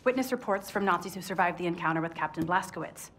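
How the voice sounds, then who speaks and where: An actress playing a brisk, no-nonsense young woman, a resistance tech expert in a video game. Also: on the low side, a bit bright, a little hiss or hum, close to an everyday voice. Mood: businesslike. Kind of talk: video game cutscene acting.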